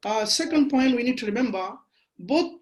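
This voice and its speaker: A man from Bangladesh, a Bengali speaker, giving a measured webinar lecture over a video call with frequent fillers. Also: extremely high pitch, expressive. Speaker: guest lecturer.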